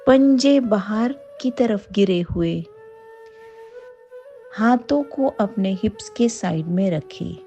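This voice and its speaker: Very slow, mellow Indian woman guiding meditation. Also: mid-range voice, expressive, lo-fi sound, faint music behind. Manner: soothing, unhurried, with long pauses. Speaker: yoga nidra guide. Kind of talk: guided meditation.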